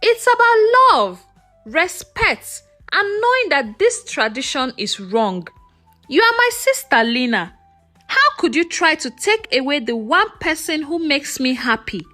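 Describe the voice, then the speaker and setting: A woman's voice: sits high, sing-song, a little slow, pushed and bright, soft background music, heavily acted. A woman voicing a loving young bride-to-be in a folktale, speaking to her sister with heartfelt emotion. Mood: hurt, pleading.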